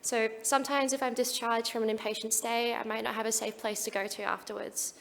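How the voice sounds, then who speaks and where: A woman's voice: fairly high pitch, level tone, fairly quick, gravelly, fairly bright tone, long reverb tail, slight background noise. A young Australian woman giving an earnest, prepared talk at a conference. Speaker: conference speaker.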